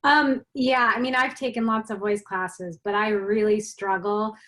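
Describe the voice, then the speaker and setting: A woman's voice: mid-range voice, fairly even intonation, unhurried, a bit bright. A woman student in an online acting class, describing her thin, narrow-ranged voice as she asks a long question over a video call. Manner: rambling, searching for words.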